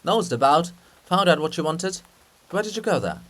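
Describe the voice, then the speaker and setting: A man's voice: fairly high pitch, very animated, normal speed, a bit bright. A man voicing a weary, grumpy old statue of a poet in a play reading, with an emphatic, querulous grumble. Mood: grumbling, probing.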